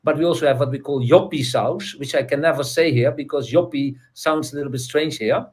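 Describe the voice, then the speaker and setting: A man with a Dutch accent, a guest in casual chat, talking haltingly with many fillers and restarts. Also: on the high side, a little mellow. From Netherlands.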